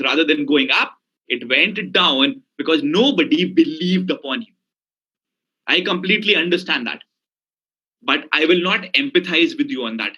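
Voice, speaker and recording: Forceful Indian English coach, higher voice. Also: male voice, low-quality recording. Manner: emphatic, addresses listeners directly with questions. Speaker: communication coach. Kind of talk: motivational lecture to an audience.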